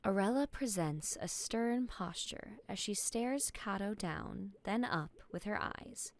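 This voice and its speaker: A woman's voice: medium pitch, expressive, slow. A woman giving scripted narration for a fantasy story.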